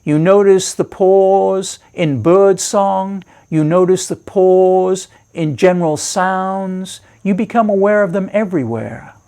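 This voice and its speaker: A man leading a guided meditation as a teacher, giving measured, reassuring instructions with deliberate pauses. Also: sits high, gravelly, mellow, smooth.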